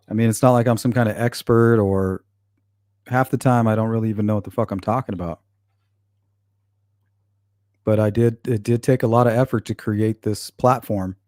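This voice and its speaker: A man's voice: lowish voice, a little mellow, no echo, clean studio sound. A man hosting a livestream, reading viewer comments aloud and answering them bluntly, with frequent swearing. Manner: blunt and profane, talks back to chat. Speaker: channel host reading live chat. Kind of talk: casual livestream chat.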